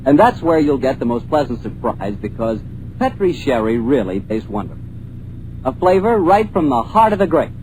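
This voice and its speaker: A man announcing on an old radio show and pitching the sponsor's product in a smooth, persuasive way. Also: very animated, moderate pace, a little mellow, poor audio. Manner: smooth, persuasive salesman delivery. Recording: vintage radio broadcast with faint hiss.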